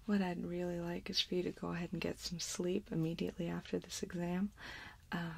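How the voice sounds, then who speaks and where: A woman in her thirties doing a soft-spoken, partly whispered ASMR exam role play, giving slow, gentle instructions close to the microphone. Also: fairly low pitch, little pitch movement, a little hiss or hum. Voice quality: breathy, whispery. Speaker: ASMR performer playing an examiner.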